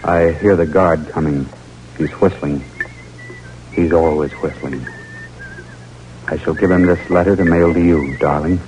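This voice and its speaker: An actor playing a daring, dogged American army lieutenant in a 1940s radio drama. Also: male voice, sits low, steady tone, measured pace, long pauses, a little mellow, slight background noise, poor audio. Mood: weary, resolute. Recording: old radio broadcast with music.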